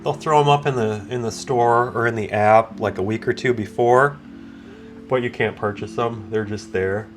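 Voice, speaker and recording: A man talks in a medium-pitched voice that sounds a little stuffed up and sleepy. He rambles easily through a casual review, interrupting himself and drifting into side thoughts. Guitar music plays behind him. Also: steady tone, normal speed. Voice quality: slightly congested. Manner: easygoing, digressive, self-interrupting. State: groggy from waking up, with sinus congestion. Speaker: product reviewer and video host.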